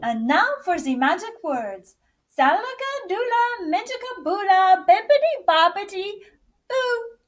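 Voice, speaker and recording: Lady doing a kind little storybook fairy godmother, playful and theatrical, voice swoops high. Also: big pitch swings, unhurried, far from the mic, heavily acted. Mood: magical, excited. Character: kindly, brisk little fairy godmother casting spells.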